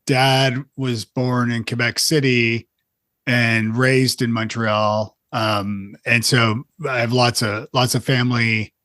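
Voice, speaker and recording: A man's voice: mid-range voice, level tone, takes it slowly, sharp tone, no echo. Podcast host guy, laid back and rambly, lots of uhs, dry close mic sound. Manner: friendly, rambling, self-deprecating, many fillers. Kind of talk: casual podcast interview.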